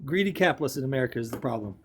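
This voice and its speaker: A man's voice: mid-range voice, lively intonation, racing, slight background noise. A man hosting a heated online debate, combative and profane, hammering the same jabs over and over. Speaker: debate stream host.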